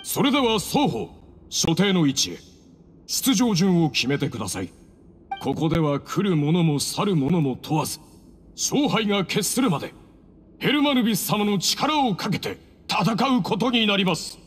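An actor playing a formal, reverent master of a sacred duel ceremony in a video game, in declamatory speech. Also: male voice, sits high, very animated, moderate pace, slight background noise. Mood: solemn. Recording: echoing hall, faint music behind.

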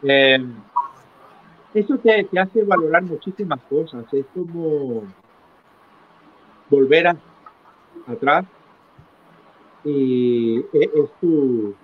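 A middle-aged man recounting his life as an interview guest, in a halting manner, repeating phrases for emphasis. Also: on the high side. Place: small indoor room, video call. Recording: tinny phone-like call with clear noise.

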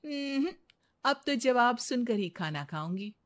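Woman playing a smug, high-voiced cartoon daughter-in-law. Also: expressive, a little slow. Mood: taunting. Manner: taunting, self-assured. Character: smug, challenging young daughter-in-law who loves riddles. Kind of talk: animated story dialogue.

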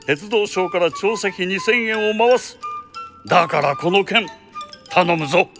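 An actor playing an imperious count in a radio drama, commanding and lordly in an old-fashioned way. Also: male voice, high voice, a little slow, over music. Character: imperious male count, senior government overseer. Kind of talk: Japanese radio drama acting.

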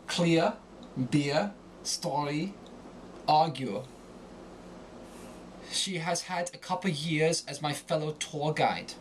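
A man giving a scripted lesson in a deliberate, theatrical way with emphatic enunciation. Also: fairly high pitch, fairly bright tone, a little hiss or hum, rough recording. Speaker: accent coach. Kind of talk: scripted accent lesson with example monologue.

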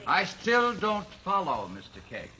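An actor playing a stern, skeptical judge in an old-time radio drama, formal and authoritative. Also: male voice, high voice, wildly swooping pitch, a little slow, bright, forceful tone, breathy edge, heavily acted, rough recording. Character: stern, skeptical male judge keeping order.

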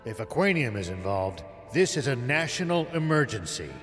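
An actor playing a stern older military veteran in a video game, speaking with grave, commanding urgency. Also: male voice, medium pitch, very animated, normal speed, fairly bright tone, big-room sound, soft background music. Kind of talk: dramatic video game voice acting.

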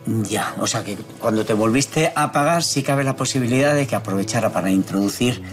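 Man playing a steady older lawyer, calm, measured, reassuring, mid-pitched voice, small indoor room, faint music behind. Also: close to an everyday voice. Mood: businesslike. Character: patient, steady older male defense lawyer. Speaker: defense lawyer. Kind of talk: television drama acting. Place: small office-like prison visiting room, indoors.

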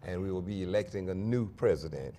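Low, mellow older pastor. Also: male voice, animated, slight background noise. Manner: measured, earnest, with small restarts. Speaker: pastor and convention guest. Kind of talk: studio interview. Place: small TV studio set. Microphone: lapel mic.

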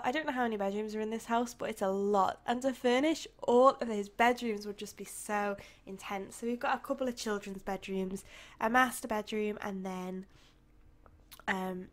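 A young woman from the UK with a croaky, tired voice, chatting casually and rambling as a YouTuber over her game build. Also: medium pitch. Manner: rambling, chatty, with soft laughs.

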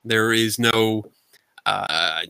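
A middle-aged man from the United States talks over a video call, blunt and colloquial, with animated energy. His voice is bright and forceful, with a dry, close sound. He speaks from a room at home. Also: fairly low pitch, very slow, vocal fry, smooth, no echo. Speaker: guest paranormal investigator. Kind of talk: casual video call interview. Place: home room full of collectibles.

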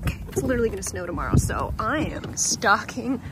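A woman's voice: mid-range voice, big pitch swings, quick pace, heavy background noise. A young woman chatting casually to her phone camera as a lifestyle vlogger, upbeat and laughing easily. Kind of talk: casual vlog chat to camera. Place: car, grocery store and home gym.

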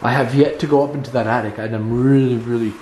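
A young man vlogging as he explores an abandoned house, talking excitedly to his viewers and swearing now and then, recorded on a handheld camera. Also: mid-range voice, fast talker, roomy sound, a little hiss or hum. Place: dark abandoned house, indoors. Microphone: handheld camera mic.

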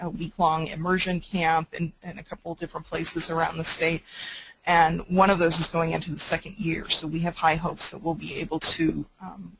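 Woman presenting on a webinar, bright tense husky voice with some gravel, lots of ums. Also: low-pitched, a little hiss or hum, low-quality recording. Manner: plain, practical, with many fillers. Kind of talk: conference call presentation.

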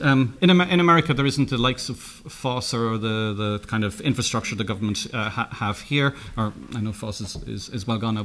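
A man's voice: mid-range voice, a bit bright. Irish man telling anecdotes at a lectern. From Ireland. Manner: anecdotal, halting with many restarts and self-corrections. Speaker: keynote speaker. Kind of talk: conference keynote talk. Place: conference room, indoors.

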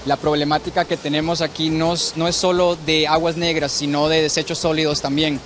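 A man's voice: on the high side, flat delivery, brisk, fairly bright tone, faint music behind. A man from an environmental group, explaining earnestly in an interview. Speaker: environmental organization member.

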